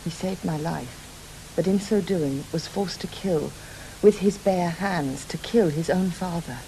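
A troubled, proud lady medium speaks with a creaky edge. Her radio drama delivery is grave and intense, over a faint background hiss. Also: lowish voice, warm tone, poor audio. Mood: grave, confessional. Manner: grave, dramatic, deliberate phrasing. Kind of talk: studio radio drama acting. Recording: hissy background noise.

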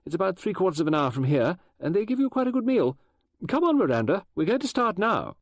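A rambling, emphatic middle-aged woman novelist, pitched well above the voice's usual level, as a male narrator puts her on. She swoops through her lines in a bright sing-song. The sound is dry and close. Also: sing-song, fast, no echo, exaggerated voice. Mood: brisk.

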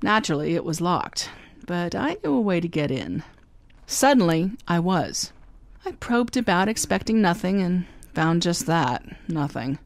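A woman's voice: on the low side, very animated, measured pace, vocal fry, dry recording, heavily acted. A woman playing a wisecracking female private detective who narrates in wry, snappy hardboiled style, in a radio drama. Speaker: narrator and lead.

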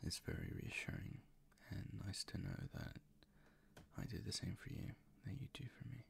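A man playing a doting, gently dominant boyfriend in an intimate ASMR roleplay, speaking in a soft, coaxing, reassuring way with a breathy, gravelly whisper. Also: low-pitched, huge pitch swings, moderate pace, a little hiss or hum, heavily acted. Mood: adoring. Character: doting, gently dominant, teasing boyfriend comforting his partner.

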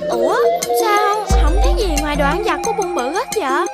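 A performer voicing an excitable young cartoon child, bubbly and expressive, with a southern Vietnamese accent. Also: very high-pitched, a little fast. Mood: earnest. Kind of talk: animated children's cartoon dubbing. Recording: loud music behind the voice.

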